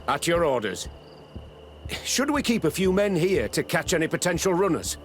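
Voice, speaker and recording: A loyal soldier in a game, kinda high for a man, formal n respectful, ready for orders. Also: sing-song, normal speed, slight background noise. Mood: dutiful, ready. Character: dutiful, deferential military officer ready for orders. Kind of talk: scripted video game voice acting.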